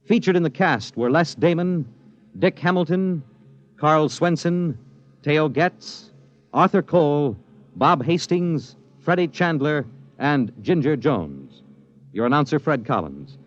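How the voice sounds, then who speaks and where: A man's voice: fairly high pitch, lively intonation, slow pace. A man reading credits formally as a 1950s radio announcer, on a muffled old broadcast recording.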